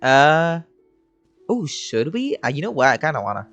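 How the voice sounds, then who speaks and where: A woman's voice: low-pitched, big pitch swings, moderate pace, muffled recording. A woman giving casual, sweary reaction commentary while playing a video game. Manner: informal, sweary, reacts aloud. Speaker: game streamer. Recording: game music behind the voice.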